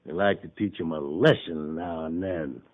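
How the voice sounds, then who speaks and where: A man's voice: extremely low pitch, moderate pace. A man playing a taunting western barroom bully in a 1950s radio drama, with a rustic drawl. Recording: narrow, muffled 1950s radio broadcast.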